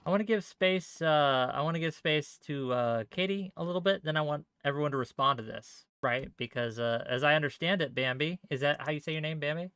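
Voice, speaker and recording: A man moderates an online panel and prompts the guests with follow-up questions. His voice is crisp and forward. The sound is dry, with no room echo. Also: fairly high pitch, a little fast, few pauses, a bit bright. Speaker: host.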